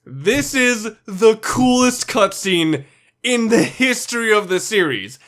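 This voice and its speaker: A man's voice: high-pitched, animated, slow pace, noisy background. A man narrating a countdown video as its reviewer, delivering opinionated scripted commentary in a blunt, sweary, emphatic way.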